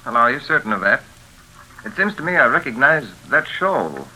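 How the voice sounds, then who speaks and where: An actor playing a determined young fiancé in a 1940s radio thriller, courteous, earnest and firm. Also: male voice, medium pitch, sing-song, moderate pace, sharp tone, breathy edge, full character voice, poor audio. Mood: polite, probing. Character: determined, polite young fiancé come to the rescue. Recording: sizzling old radio broadcast.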